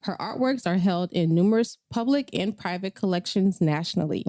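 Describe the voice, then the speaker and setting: A woman's voice: fairly low pitch, lively intonation, normal speed, warm tone, dry recording, clean studio sound. A woman hosting a podcast, reading a guest introduction and then chatting casually and laughing with her guest. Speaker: podcast host.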